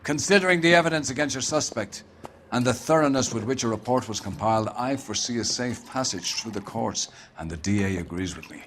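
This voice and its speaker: A stern, middle-aged police captain, voiced by an actor in a video game, handing out praise with pompous formality. His speech is measured and grand, with an ordinary mid-range pitch. He sounds like a man used to addressing his squad. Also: a bit bright, a little hiss or hum, close to an everyday voice. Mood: approving, solemn. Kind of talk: video game cutscene acting.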